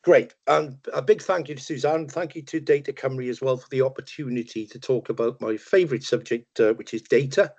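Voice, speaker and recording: Older welsh guy presenting on a video call, chatty and easygoing, lots of ums, middle-pitched voice, slightly muffled sound. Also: brisk, hardly any pauses. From Wales. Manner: relaxed, chatty, self-deprecating humour with many ums and uhs. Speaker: webinar presenter. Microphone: video call microphone.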